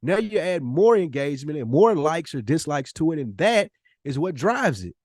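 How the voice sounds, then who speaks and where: A man's voice: on the high side, sing-song, normal speed, a little mellow, no echo, studio quality. A man co-hosting a podcast in casual, slangy debate, arguing his points emphatically and swearing freely. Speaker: podcast co-host.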